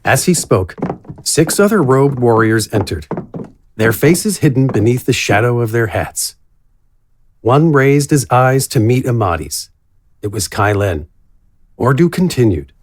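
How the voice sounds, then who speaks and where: A man's voice: mid-range voice, animated, unhurried, pauses often, a little hiss or hum. A man reading scripted narration for a fantasy story.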